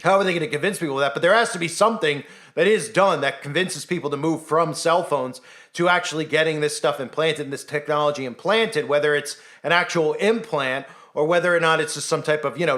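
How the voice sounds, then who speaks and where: A man's voice: a little high, sharp tone. A man giving informal online commentary in an insistent, rhetorical way, often tagging statements with a questioning check. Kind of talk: informal conspiracy commentary monologue.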